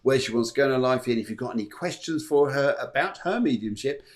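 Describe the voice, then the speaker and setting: A man's voice: mid-range voice, expressive, fast talker. British guy hosting a live stream, chatty, says everything twice, upbeat. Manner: chatty, repeats words, upbeat. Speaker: host. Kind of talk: casual live-stream chat.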